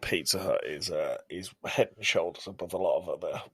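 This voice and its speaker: A man's voice: sits low, a little fast, fairly bright tone. A man co-hosting a podcast, giving his opinions on film in an informal, casual way. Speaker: podcast co-host.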